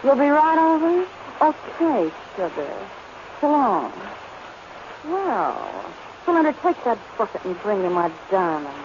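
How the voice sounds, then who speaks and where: Sultry, tough American saloon dame, flirtatious drawl, radio burlesque, muffled vintage sound. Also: female voice, on the high side, big pitch swings, measured pace. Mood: flirtatious, sly. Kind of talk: 1930s radio comedy burlesque acting. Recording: noisy, dull old radio recording.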